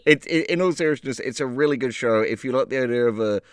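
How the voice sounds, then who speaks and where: A man's voice: medium pitch, quick pace, clean tone, dry recording, clean studio sound. A man co-hosting a casual comedy podcast, joking and often laughing as he talks. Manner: jokey, laughs while talking. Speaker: co-host.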